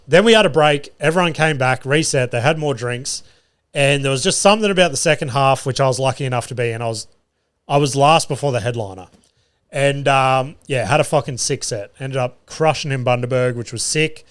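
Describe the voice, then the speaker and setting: A young man rambles through stories on his podcast, swearing freely and chuckling at his own punchlines. His voice sits at an everyday middle pitch, recorded in a studio. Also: fairly quick, clear, resonant tone. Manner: loose and sweary, laughs at his own jokes. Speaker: podcast host and comedian. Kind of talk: casual solo podcast storytelling.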